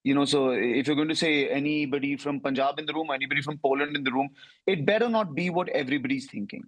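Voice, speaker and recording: A man with an Indian accent, a comedian answering interview questions in a reflective conversational way, often adding quick asides. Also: on the high side, no room sound, low-quality recording.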